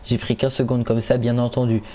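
A man's voice: mid-range voice, monotone, a little fast, warm tone. A man giving casual commentary on a video game, hesitant and stumbling, often repeating himself. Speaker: gaming channel host.

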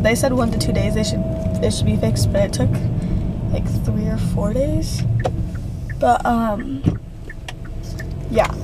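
A young woman vlogging while she drives, chatting in a rambling way with lots of fillers, recorded on a dashboard camera. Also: a little high. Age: young adult. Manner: rambling, many fillers and restarts. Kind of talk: casual chat while driving. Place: inside a car, daytime. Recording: loud car road noise. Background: car and road noise.

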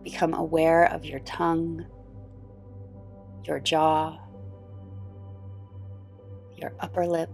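A woman leads a guided meditation in a low voice with a noticeable creak. She speaks very slowly and gently, soothing the listener as a yoga nidra guide. Faint music runs behind her. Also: level tone. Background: background music.

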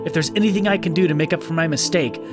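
A man reading the part of an earnest, dutiful young princess in a video game fan story, softly spoken. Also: on the high side, rapid-fire, over music, natural voice. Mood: apologetic. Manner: soft, earnest.

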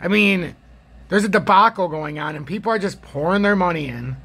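An American man with a gravelly, husky voice giving casual, rambling, opinionated chart commentary as a trading video host. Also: sits high, a little fast, sharp tone.

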